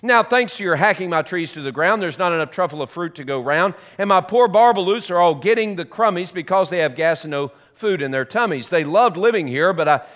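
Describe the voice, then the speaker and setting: A man's voice: high voice, lively intonation, fairly quick, few pauses, fairly bright tone. A man putting on a scolding little tree guardian creature. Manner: indignant, scolding, rhyming rants. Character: small fussy creature, scolding guardian of trees. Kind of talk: reading a children's storybook aloud.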